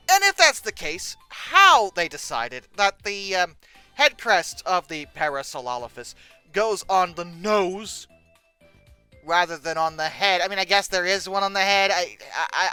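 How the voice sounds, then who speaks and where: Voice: medium pitch, sharp tone, smooth, dry recording. A sarcastic, exasperated host doing comedic reaction commentary, given to mock outrage. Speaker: host of a paleontology commentary show. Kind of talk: comedic reaction commentary, reading aloud and critiquing.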